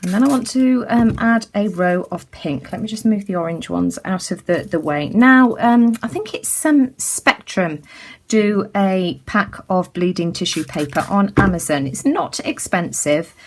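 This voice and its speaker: A woman from the UK giving a casual craft tutorial as she works, chatty and full of ums, her voice a little croaky. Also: mid-range voice, animated, measured pace, slight background noise. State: slightly croaky. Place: indoors at a craft table.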